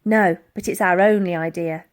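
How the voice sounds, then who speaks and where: A woman's voice: on the low side, steady tone, normal speed, close to an everyday voice. A woman voicing a brave, bossy young girl in a children's adventure story, urgent and commanding.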